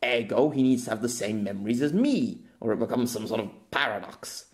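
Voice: medium pitch, lively intonation, fairly quick, natural voice. A narrator as a dry, sceptical, patient man. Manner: dry, reasoning, pedantic.

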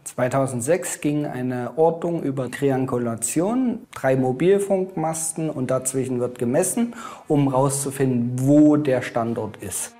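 A man's voice: mid-range voice, measured pace, dark tone. A man explains technical details as an expert in a documentary voice-over.

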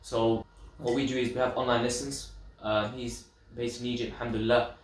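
A man's voice: medium pitch, flat delivery, brisk. A young man from Pakistan, a podcast guest, explaining earnestly how he memorized the Quran. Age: young adult. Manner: earnest, explanatory, gestures while talking. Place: room with bookshelves, indoors. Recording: echoey room, mic at a distance.

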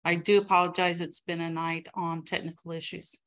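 A woman speaking as a meeting clerk, making procedural announcements politely and deliberately. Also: lowish voice, level tone, a bit bright. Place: indoor council chamber.

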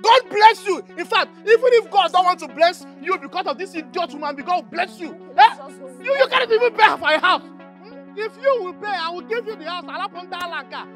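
A man's voice: extremely high pitch, expressive, brisk, fairly bright tone, soft background music. A man with a Nigerian accent playing a combative house agent who taunts and threatens in repetitive bursts in a comic film drama. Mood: taunting.

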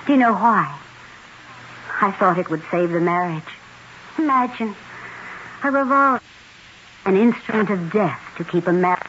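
A woman's voice: medium pitch, animated, moderate pace, long pauses, a bit bright, close to an everyday voice. A woman playing a wistful, unhappy wife with theatrical, reflective delivery in a 1970s radio drama. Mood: bitter musing. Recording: muffled, faintly noisy 1970s radio recording.

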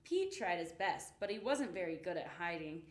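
Expressive young woman reading a children's story. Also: fairly low pitch, normal speed. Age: young adult. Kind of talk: children's picture book read-aloud. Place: indoor room with painted rainbow backdrop. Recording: roomy, echoing indoor sound.

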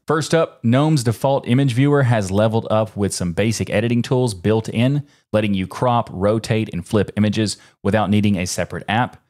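A man's voice: on the low side, darkish tone. A man in his thirties presenting a casual scripted tech explainer to camera, conversational with chatty personal asides, on a close studio mic. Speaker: tech video host. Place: small indoor home studio. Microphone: close broadcast mic on boom arm.

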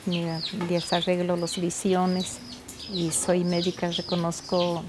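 A woman's voice: lowish voice, monotone, slow, very noisy. An older woman from Colombia, a traditional weaver and midwife, telling her story plainly in a documentary interview.

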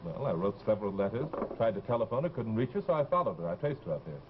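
A man's voice: on the low side, very animated, fast talker. An actor playing a worried, insistent fiancé in his thirties, blunt and urgent, in 1950s television drama style. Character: insistent, worried young fiancé demanding answers. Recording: dull, noisy old TV soundtrack.

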